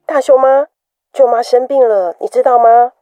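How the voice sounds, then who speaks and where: Actress as a soft, high-voiced concerned woman. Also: steady tone, moderate pace, no echo, clean studio sound, faint music behind, close to an everyday voice. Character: concerned, gentle younger female acquaintance urging care. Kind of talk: Mandarin radio drama acting.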